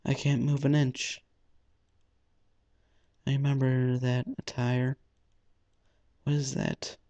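A man's voice: medium pitch, flat delivery, takes it slowly, warm tone, highs cut off. A man hosting a let's play, reading visual novel narration aloud dramatically and stumbling over the text now and then. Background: faint game music.

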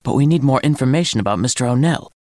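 A man's voice: mid-range voice, animated, fast talker, a little mellow, dry recording, clean studio sound, natural voice. A man playing an inquisitive, serious teenage boy in audiobook dialogue, questioning and intent. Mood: serious, curious.

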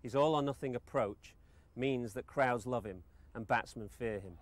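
Measured male documentary narrator. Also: mid-range voice, noisy background. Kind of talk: scripted narration.

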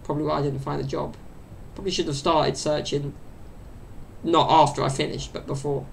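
A young man in his twenties talks on a solo podcast, meandering loosely and stuffing his talk with fillers. His voice sits fairly high with a gravelly, fry-edged texture. A faint low rumble hangs under the desk mic off to his side. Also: level tone, fairly quick. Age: 20s. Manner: chatty and meandering, full of fillers. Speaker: podcast host. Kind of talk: rambling solo podcast chat. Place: small bedroom at home. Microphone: desk mic set off to the side.

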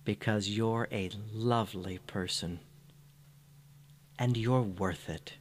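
A man with a fairly low voice delivers a scripted guided meditation. His pace is extremely slow, with soothing, gentle phrasing. A faint background hum sits under the recording.

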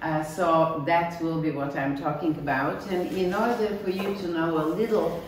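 A woman's voice: lowish voice, steady tone, normal speed, a little hiss or hum. A middle-aged woman from Bulgaria with a Bulgarian accent, giving an informal art lecture. She speaks plainly and earnestly, often searching for words. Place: small bright gallery room, indoors. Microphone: far camera, standing mic at a lectern. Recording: echoey room, recorded from far off.

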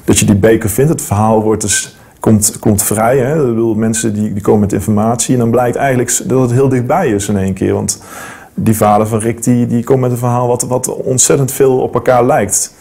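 A man's voice: medium pitch, fairly even intonation, brisk, vocal fry, mellow, husky. A man in his thirties telling his story in a documentary interview, hesitant with many fillers and restarts. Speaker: metal detectorist interviewee. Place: studio against plain backdrop.

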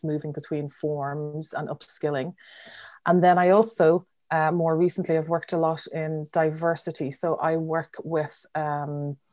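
Irish woman, low register, dry close video-call sound, measured panel talk. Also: darkish tone, no room sound. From Ireland. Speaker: dramaturg, panelist. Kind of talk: panel self-introduction.

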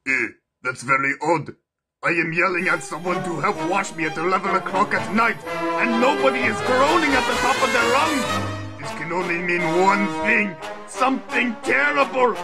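A man voicing a loud, demanding, self-pitying cartoon creature with a gravelly, drawn-out bellow. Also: very high-pitched, exaggerated voice. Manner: bellowing, drawn-out, repeating himself. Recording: music playing behind the voice.

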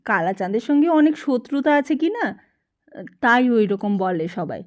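A woman's voice: fairly high pitch, very animated, moderate pace. A woman voicing an uneasy, trusting male villager in an audiobook story, speaking in a hesitant way. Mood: defensive. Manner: hesitant, tentative. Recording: dull lo-fi narration recording.

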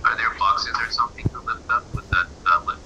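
A man's voice: medium pitch, steady tone, a little fast, highs cut off. A man talking casually with fellow players during a multiplayer game. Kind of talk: casual gameplay chat. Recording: very noisy home game recording.

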